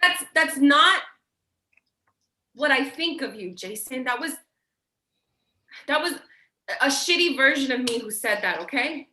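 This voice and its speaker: Insecure older sister, acted by a woman, naturalistic stage drama, bright forceful tone, halting and blunt, roomy echoing sound. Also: high voice, expressive, heavily acted. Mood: earnest, nervous. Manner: halting, repeats words, swears bluntly. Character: Jordan, insecure, blunt, protective older sibling. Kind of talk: staged play reading, naturalistic dialogue.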